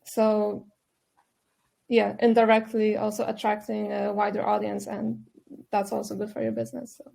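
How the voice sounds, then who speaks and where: A woman's voice: mid-range voice, completely flat delivery, moderate pace, creaky, dark tone. A woman whose first language is Polish, speaking as a panelist in a discussion, thoughtful and loose with fillers.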